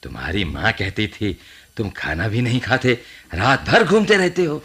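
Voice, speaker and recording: An actor playing a devout, fussy, worried village priest with a husky voice in a radio drama. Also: male voice, fairly low pitch, huge pitch swings, normal speed, a bit bright, exaggerated voice. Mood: concerned, chiding. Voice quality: husky, rough. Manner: exclamatory, pious interjections. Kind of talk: Hindi radio drama acting.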